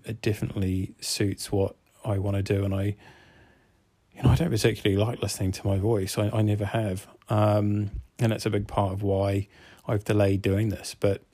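A man's voice: lowish voice, mellow, dry recording. A man with a New Zealand accent hosting his own podcast, musing earnestly and unscripted, thinking aloud with hesitations. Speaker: podcast host, fitness coach.

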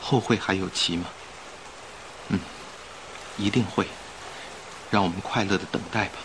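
A man playing a suave, composed, romantic gentleman traveler in a courteous, theatrical radio drama style. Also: sits low, fairly even intonation, normal speed, background noise, lightly acted. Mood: tender, wistful. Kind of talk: Mandarin radio drama acting.

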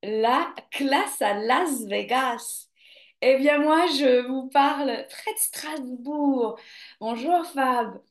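A woman's voice: high-pitched, drawn-out words, reverberant. A woman yoga teacher hosting a casual live stream, chatting spontaneously with viewers and hesitating often. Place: home near the coast.

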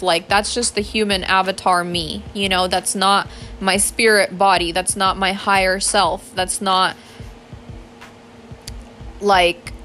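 Young woman, flat monotone delivery, casual rambling with fillers and swearing, faint music underneath. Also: mid-range voice. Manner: earnest, spiritual self-talk. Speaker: podcast host. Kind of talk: casual solo venting monologue.

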